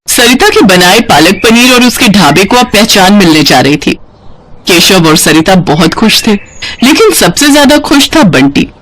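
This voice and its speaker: A woman narrates a scripted story in a highly animated voice. Her pitch moves widely from phrase to phrase, shaping each sentence as a storyteller would. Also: normal speed, poor audio.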